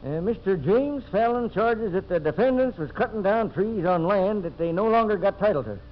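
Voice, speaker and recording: A man's voice: high-pitched, lively intonation, normal speed. An American man playing a folksy, sly frontier marshal with a rustic accent in a 1950s radio drama. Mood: wry. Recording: muffled, hissy vintage radio recording.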